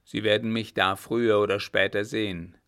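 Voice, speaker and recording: A man voicing a grim, vengeful army captain who talks in a forceful, harsh, clipped way. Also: on the low side, flat delivery, normal speed, clean tone. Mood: scornful.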